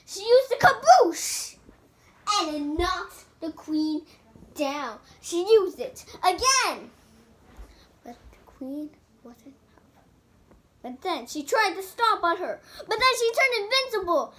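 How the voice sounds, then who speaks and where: A child improvising a fairy tale with toys, telling it dramatically in halting sentences. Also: very high voice, expressive, a bit bright. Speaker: storyteller. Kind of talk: improvised storytelling of a toy play fairy tale. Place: indoors in a room at home.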